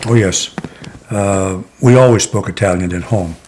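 An older man in his seventies from Connecticut, reminiscing slowly in a sit-down oral history interview, with many pauses and "uh" fillers. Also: low-pitched, darkish tone, a little hiss or hum. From Connecticut, USA. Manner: slow, reflective, many "uh" pauses. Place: living room, seated in armchair.

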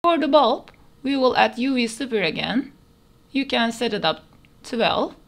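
A woman's voice: on the high side, sing-song, a little slow. A woman narrating a step-by-step 3D modeling tutorial in plain, instructional phrasing, recorded as a voice-over. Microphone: voice-over over screen recording.

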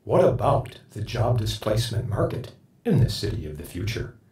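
Guy asking a question in an old sample clip, soft dark tone, echoey and distant. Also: on the low side, big pitch swings, moderate pace. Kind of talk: spoken sample sentence.

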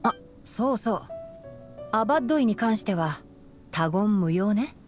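A woman voicing a calm young male fox-eared forest scholar in a Japanese anime game, explaining in a measured, boyish way. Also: mid-range voice, close to an everyday voice. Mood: composed, serious. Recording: music behind the voice.

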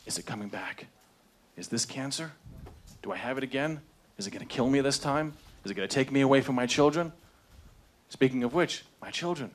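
A man's voice: medium pitch, a bit bright, a little hiss or hum. A man in his thirties speaking angrily and emotionally into a handheld microphone at a crowded town hall meeting, confronting his congressman. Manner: angry, confrontational, rising in emotion. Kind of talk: impassioned public speech. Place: large crowded meeting room indoors.

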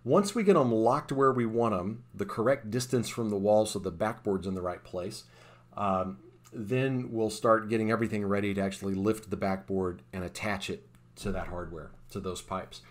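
Guy with a lowish voice doing a voice-over on his own install job, technical, lots of uh's and restarts. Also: moderate pace. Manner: plain-spoken, technical, with frequent uh's and restarts. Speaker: sport court installer. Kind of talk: voice-over explaining a time-lapse install.

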